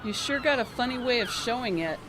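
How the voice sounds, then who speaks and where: A woman's voice: a little high, expressive, brisk, noisy background, natural voice. A woman playing a brave, defiant captive in an audio drama, earnest and emotional.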